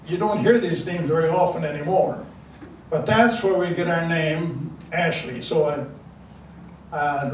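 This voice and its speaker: An older man whose first language is Dakota, telling an audience about his early life in a rambling way, with many restarts and fillers. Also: on the high side. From South Dakota. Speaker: elder giving a talk. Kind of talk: storytelling speech to an audience. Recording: echoey room from far off, faint noise.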